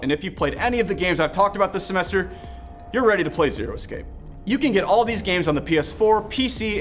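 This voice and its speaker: A man with a slightly high voice delivers a scripted game review with clear enthusiasm. Soft music plays behind him. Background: background music.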